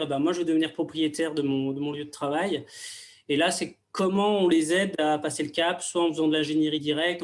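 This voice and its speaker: A man with a fairly high-pitched voice speaks as a local government panelist. His delivery is informal and explanatory. He thinks aloud, reshaping phrases along the way. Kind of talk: informal explanatory conference talk.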